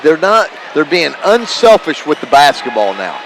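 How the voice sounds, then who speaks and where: Guy doing color commentary at a basketball game, kinda high voice, emphatic, chatty, noisy gym. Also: big pitch swings, normal speed, fairly bright tone, a faint crowd. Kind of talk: live sports analysis, conversational. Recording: noisy live gym broadcast. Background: gymnasium ambience, bouncing basketballs.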